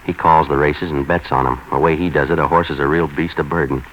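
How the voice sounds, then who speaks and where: A cynical private eye from a 1940s radio noir. The voice is very low and terse, with deadpan wisecracks. The old recording is muffled, with faint hiss. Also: male voice, fast talker. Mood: world-weary. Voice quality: low-pitched voice. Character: cynical hard-boiled male private investigator for hire.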